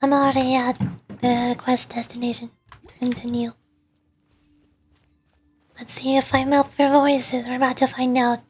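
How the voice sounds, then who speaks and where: A woman VTuber chatting casually on a gaming livestream, softly and often in a murmur. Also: high voice, flat delivery, unhurried, pauses often, faint music behind. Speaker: VTuber game streamer.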